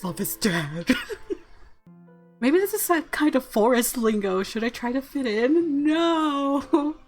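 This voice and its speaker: A woman with a fairly high voice hosts a let's play with music behind her. She moves between reading narration and casual commentary, playful and expressive. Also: slow. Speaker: gaming video host.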